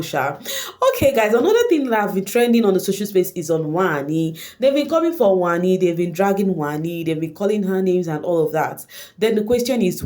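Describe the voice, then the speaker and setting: A woman with a Nigerian accent and Igbo as her first language, giving casual gossip commentary straight to her viewers and slipping in Pidgin slang. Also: medium pitch. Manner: animated, addresses viewers directly, mixes in Pidgin slang. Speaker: online reality show commentator.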